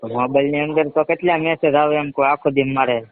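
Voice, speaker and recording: High-pitched man on a tinny phone line. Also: steady tone, fairly quick, no echo. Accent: Gujarati, Saurashtra colloquial. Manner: stubborn, playing naive and confused. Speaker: prankster answering a marketing call. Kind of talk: casual phone chat, prank call. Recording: muffled narrow-band phone call recording.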